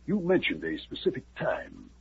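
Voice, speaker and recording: An actor playing a cautious army colonel, formal and measured and sometimes hesitant, in a 1950s radio drama. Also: male voice, medium pitch, wildly swooping pitch, vocal fry, breathy edge, full character voice. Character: cautious middle-aged male army colonel. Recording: muffled old radio recording with faint hiss.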